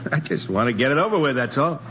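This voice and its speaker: A man's voice: medium pitch, big pitch swings, fast talker, close to an everyday voice. An actor playing a nervous, plain-spoken space crewman with an informal, restless manner in an old radio drama. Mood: edgy. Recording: muffled old radio broadcast with hiss.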